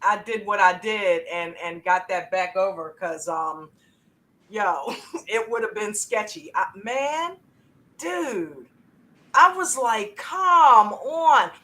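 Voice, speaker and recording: Animated woman in her fifties, bright voice. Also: mid-range voice, slow pace. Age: 50s. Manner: animated, laughs often, says "dude". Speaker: trading stream host. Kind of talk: casual chat.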